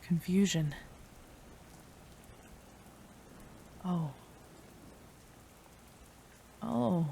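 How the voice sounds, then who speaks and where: A woman's voice: lowish voice, slow, dark tone, slight background noise. A woman playing a devoted, desperate female mad scientist in an intimate, emotional audio roleplay, addressing a beloved. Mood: caring, worried. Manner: emotional, breathy sighs, addresses a beloved tenderly.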